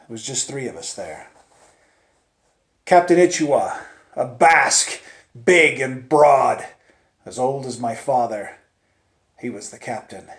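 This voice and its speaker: Male storyteller, mid-pitched and unhurried, theatrical suspense delivery with big dynamic swings, roomy sound. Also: animated. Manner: theatrical, swinging from hushed near-whispers to loud urgent peaks; deliberate, with dramatic pauses and repetition. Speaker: storyteller narrating in first person. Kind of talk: dramatic live oral storytelling of a suspense tale. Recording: roomy, echoing space.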